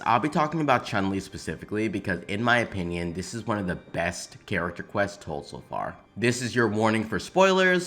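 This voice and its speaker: Male video essayist, low and bright voice, emphatic informal delivery, music underneath. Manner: emphatic, informal, occasional swearing. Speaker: video game commentary creator. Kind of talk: opinionated scripted video essay commentary.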